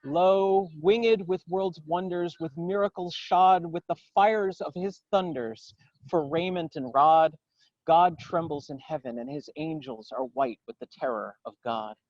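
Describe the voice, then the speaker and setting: A man gives a dramatic poetry recitation outdoors, in solemn, declamatory, measured phrases. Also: on the high side, darkish tone, no echo, lo-fi sound. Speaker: poetry reader. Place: outdoors in a garden.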